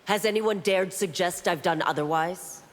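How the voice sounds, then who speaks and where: A woman's voice: fairly low pitch, moderate pace, natural voice. A woman playing a stern, proud female constable in a fantasy video game, formal and authoritative. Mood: firm, formal. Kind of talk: video game character dialogue. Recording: long hall reverb, faint noise.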